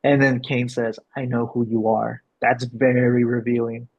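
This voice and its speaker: A man working as a director, explaining and reading out a script, with a gravelly, husky voice. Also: medium pitch, level tone, a little slow. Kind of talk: explanatory coaching and script narration. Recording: dull, lo-fi sound.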